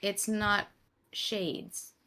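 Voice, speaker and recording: Bright, creaky-voiced woman. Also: medium pitch, steady tone, very slow. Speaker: tabletop roleplay player. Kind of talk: improvised in-character questioning.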